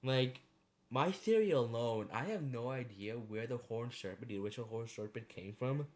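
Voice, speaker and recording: A man's voice: medium pitch, animated, normal speed, darkish tone. A man giving casual, rambling opinion commentary as a video creator, speaking informally with slang and some swearing. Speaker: video creator ranking horror series.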